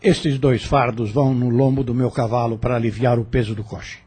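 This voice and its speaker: An actor playing a stern, authoritative landowner in a radio drama, curt and commanding. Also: male voice, medium pitch, steady tone, fairly quick, dark tone, breathy edge, close to an everyday voice.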